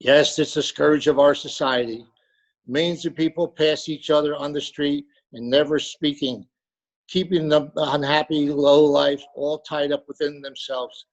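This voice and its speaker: A man's voice: a little high, fairly even intonation, a little slow. A man playing a pompous, salesmanlike lonely hearts broker in old-time radio drama style. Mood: solemn, businesslike. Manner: salesmanlike, florid. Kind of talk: 1940s radio drama acting. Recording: dull, lo-fi remote call audio.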